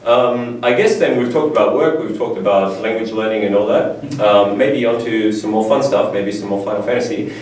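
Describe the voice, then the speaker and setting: A man's voice: lowish voice, moderate pace, few pauses, a little mellow. A man hosting a casual interview, playful and teasing, laughing often. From Australia. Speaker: interviewer, host. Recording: echoey room, distant mic, faint noise.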